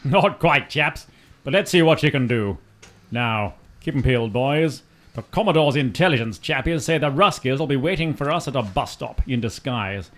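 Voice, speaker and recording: A man's voice: medium pitch, lively intonation, normal speed, fairly bright tone, natural voice. A man playing a hearty upper-class British air ace with a posh English accent, full of clipped old slang. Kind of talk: amateur comic radio play.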